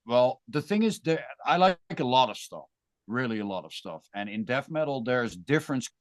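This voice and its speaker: A man's voice: mid-range voice, expressive, normal speed, no echo, studio quality. A man with a Dutch accent, a guest musician talking casually and thoughtfully in an interview. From Netherlands. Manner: thoughtful, rambling with restarts.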